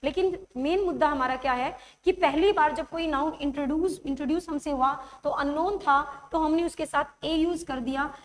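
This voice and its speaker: A woman teacher with a high voice leads an online grammar class, her throat sore. She keeps coaxing the class with quick check-ins and slows right down to spell out examples. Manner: coaxing, checks understanding often; writes examples while speaking, slows to dictate them.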